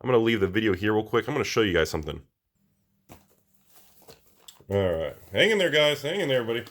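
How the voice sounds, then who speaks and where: An American man with a slightly raspy voice, a little under the weather, talking casually and ramblingly to viewers as he reviews products. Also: lowish voice, very animated, quick pace, a bit bright. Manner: informal, rambling, addresses viewers directly. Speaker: collectibles channel host.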